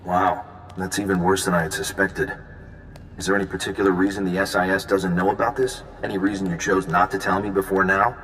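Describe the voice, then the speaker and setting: An actor playing an easygoing, smooth-talking, flirtatious male intelligence agent in a video game, casual and breezy. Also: deep voice, flat delivery, brisk, a bit bright. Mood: displeased. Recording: long reverb, faint background noise.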